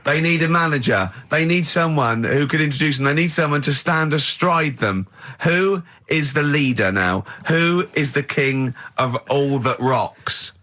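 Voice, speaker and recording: Give a bright, punchy male voice. He hosts casual, comic radio banter, stammering into sentences and repeating phrases for emphasis. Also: fairly high pitch, unhurried, few pauses. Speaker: radio show host.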